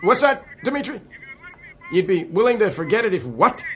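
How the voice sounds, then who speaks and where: An actor playing a flustered, placating American President on a hotline call in a satirical radio play, halting and stammering politely. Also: male voice, high-pitched, very animated, fairly bright tone, slight background noise. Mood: anxious, exasperated. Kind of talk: satirical radio comedy drama.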